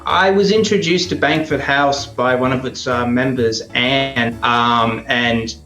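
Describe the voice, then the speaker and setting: A man's voice: medium pitch, a little slow, bright, forceful tone, faint music behind. Male interview guest, mid-range voice, echoey room, hesitant conversational delivery. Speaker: interview guest, radio play performer. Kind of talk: casual interview chat. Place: indoors at a heritage house. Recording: roomy echoing sound.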